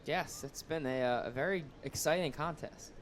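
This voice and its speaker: A man's voice: on the high side, normal speed, slight background noise. An American man giving live color commentary on a baseball radio broadcast.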